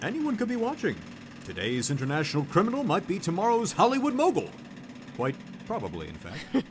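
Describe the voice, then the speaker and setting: An actor playing a hammy aspiring voice actor, theatrical and raspy, in a comedic audio drama. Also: male voice, high voice, huge pitch swings, a little fast, slight background noise. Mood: grandiose. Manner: theatrical, showy, shifting cartoonish voices. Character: hammy male aspiring voice actor, always auditioning.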